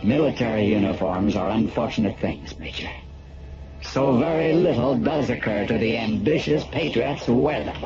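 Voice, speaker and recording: A man's voice: medium pitch, expressive, moderate pace, close to an everyday voice. A man as a grave, formal alien leader. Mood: bitter scorn. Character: stern, scornful alien authority interrogating a human officer. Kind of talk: formal radio drama acting. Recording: dull lo-fi radio drama, music behind.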